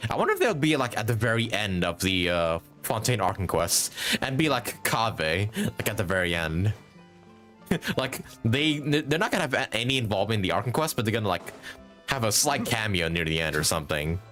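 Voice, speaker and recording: A male streamer chats casually over light game music. His voice is fairly low but swings widely in pitch as he thinks out loud. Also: big pitch swings, fairly quick. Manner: musing, thinking aloud. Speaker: game livestreamer. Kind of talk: casual live gaming commentary.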